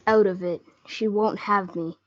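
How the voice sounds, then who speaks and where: A woman's voice: medium pitch, steady tone, unhurried, slight background noise, close to an everyday voice. A female student performer playing a lovesick, gloomy young man in a reader's theatre play. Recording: dull, muffled lo-fi audio.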